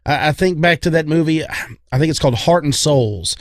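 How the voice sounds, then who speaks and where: A man's voice: fairly high pitch, normal speed, no room sound. Rambling male podcaster in a dry room. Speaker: podcast co-host. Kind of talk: casual chat, opinion.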